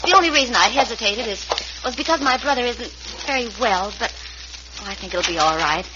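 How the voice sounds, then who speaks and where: A woman's voice: a little high, lively intonation, fairly quick, close to an everyday voice. An actress playing a kind, worried American frontier woman in a radio western, fretful and hesitant in manner. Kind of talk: 1950s radio western acting. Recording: noisy, muffled old radio recording.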